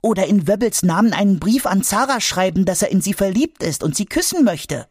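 Man doing a chill schoolboy in a kids story, slightly husky, sure of himself. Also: high-pitched, very animated, brisk, a little mellow, no room sound, studio quality, voice pushed up, heavily acted. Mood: reassuring. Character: clever young schoolboy, calm and sure. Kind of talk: audiobook character voice.

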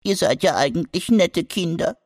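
A squeaky little fretful creature. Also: male voice, expressive, normal speed, no room sound, studio quality. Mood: pleading. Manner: high squeaky, whiny then gleeful. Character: small anxious creature boy with spoon ears. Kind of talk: audiobook character voice.

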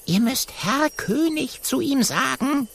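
A man's voice: very high voice, expressive, takes it slowly, vocal fry, fairly bright tone, voice pushed up, heavily acted. A man voicing a polite, nervous young male monkey with a timid, deferential manner, in a children's audiobook.

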